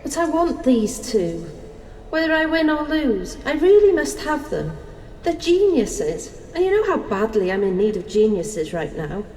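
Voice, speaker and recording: Brief: Life personified, imperious and passionate, theatrical swooping delivery, heard in an echoey hall. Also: female voice, high-pitched, very animated, unhurried, mellow. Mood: exasperated. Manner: dramatic, imperious. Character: Life personified, a passionate, scheming, exasperated woman. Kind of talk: theatrical audio drama acting. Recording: noisy, echoey hall with long reverb.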